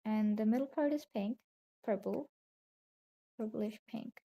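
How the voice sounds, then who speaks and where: A young woman with a raspy voice from a nap, softly talking through her digital painting on a live stream. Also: a little high. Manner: soft, unhurried commentary on her own drawing. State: just woke up from a nap. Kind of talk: casual narration while drawing.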